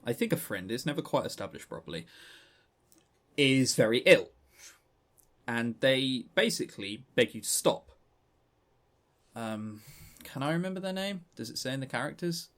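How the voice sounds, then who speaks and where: A man's voice: medium pitch, very animated, moderate pace, pauses often, a bit bright. A man co-hosting a podcast, casually reviewing a game and retelling its story in a rambling, chatty way with stammering restarts. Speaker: podcast co-host.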